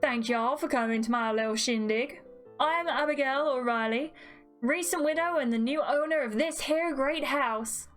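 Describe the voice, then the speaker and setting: A folksy Southern widow as a woman plays her holds court theatrically in a high voice with an American Southern drawl. It is a mystery game role, with faint music behind. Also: normal speed, fairly bright tone. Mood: folksy, welcoming. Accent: put-on American Southern. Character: folksy Southern widow, hostess of a great house.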